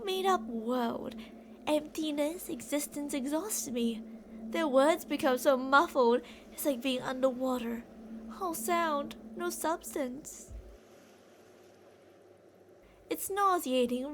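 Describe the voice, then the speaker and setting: High-pitched yandere kidnapper girl played by a woman. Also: lively intonation, unhurried, a little hiss or hum. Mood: bitter, disillusioned. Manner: rambling, teasing, theatrical. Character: obsessive delusional female yandere kidnapper, rambling to captive. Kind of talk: ASMR roleplay.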